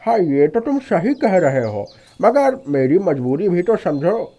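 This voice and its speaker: Man voicing an apologetic but firm landlord, higher-pitched. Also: sing-song, measured pace, dark tone, heavily acted. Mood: insistent. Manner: hesitant, regretful yet insistent. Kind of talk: animated moral story dialogue.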